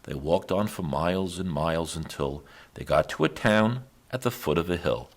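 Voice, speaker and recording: A man reading a rhymed children's story aloud as a storyteller, with measured, expressive rhythm. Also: low voice. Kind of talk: children's read-aloud, rhymed scripted narration.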